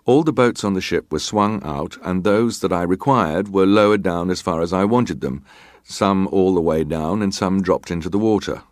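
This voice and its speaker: An actor playing a terse, guarded ship's officer giving formal, respectful, matter-of-fact testimony in a dramatised hearing. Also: male voice, low-pitched, normal speed, dry recording, studio quality, lightly acted. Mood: dutiful, firm. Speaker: actor reading a witness's testimony.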